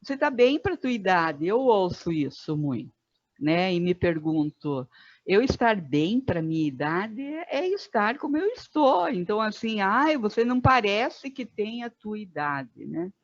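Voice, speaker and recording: A woman in her fifties, a guest facilitator, speaking thoughtfully in a podcast conversation with many pauses and fillers. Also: medium pitch, sing-song, no echo, muffled recording. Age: 50s.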